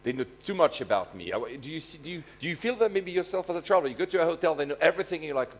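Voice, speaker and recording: A man's voice: on the high side, expressive, very fast. A man whose first language is French, moderating a conference fireside chat with loose, rambling questions.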